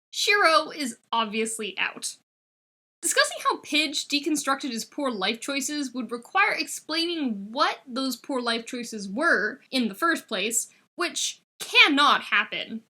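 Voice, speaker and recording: Female audiobook-style fiction reader, fairly high pitch, very bright and crisp-edged tone, expressive delivery. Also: slow pace. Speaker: podfic reader. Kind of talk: expressive scripted fiction narration.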